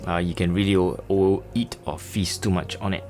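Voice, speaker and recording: Relaxed Malaysian man, low voice, halting. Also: faint music behind. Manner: casual, chatty, many filler words and pauses. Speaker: sketching and watercolor instructor. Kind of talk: relaxed tutorial narration.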